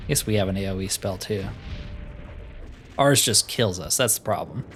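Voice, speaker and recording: A man giving casual, wry commentary as he plays through a video game, often with dry humor. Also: on the low side. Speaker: gaming video host.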